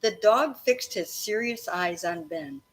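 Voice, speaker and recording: A woman teacher reading a storybook aloud to children expressively, pausing to explain and prompt her listeners. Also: medium pitch, lively intonation. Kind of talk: interactive children's read-aloud of a storybook, with questions and asides. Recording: faint background noise.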